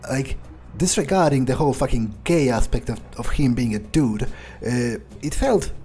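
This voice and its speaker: A man roleplaying a ruthless, calculating vampire in a game, musing aloud in halting, profane sentences. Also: medium pitch, expressive, mellow, faint music behind, close to an everyday voice. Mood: musing, pragmatic. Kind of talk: in-character improvised game playthrough monologue.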